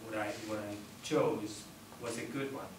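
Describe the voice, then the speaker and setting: A middle-aged man with an Indonesian accent tells his own story at a panel discussion, pausing often mid-sentence. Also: lowish voice, fairly even intonation. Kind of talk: personal account at a panel discussion. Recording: roomy, noisy, distant microphone.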